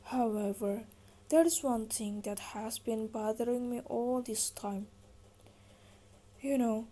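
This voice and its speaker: Woman as a slow, solemn royal minister. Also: fairly high pitch, warm tone. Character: earnest, solemn royal minister, advising and formal. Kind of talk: children's puppet-show storytelling.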